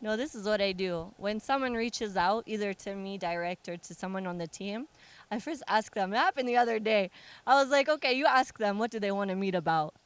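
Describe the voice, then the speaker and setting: A woman's voice: medium pitch, fairly quick, smooth, dry recording. A young American woman, a musician, chatting casually in an interview, joking and laughing often. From United States. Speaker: musician guest.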